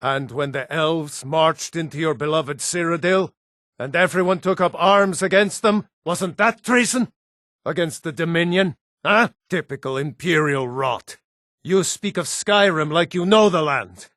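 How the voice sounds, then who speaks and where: A man's voice: a little high, a little slow, fairly bright tone, no echo, clean studio sound. An actor playing a bitter, proud middle-aged Nord father in a video game, indignant and scornful. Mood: scornful anger. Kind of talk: video game character dialogue.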